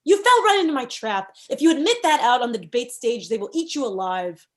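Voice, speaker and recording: A woman plays a cynical campaign manager in a comedy read. Her voice sits fairly high, and she is confident, pushy and sardonic. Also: big pitch swings, brisk. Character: brisk, cynical female political campaign manager. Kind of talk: comedy script table read.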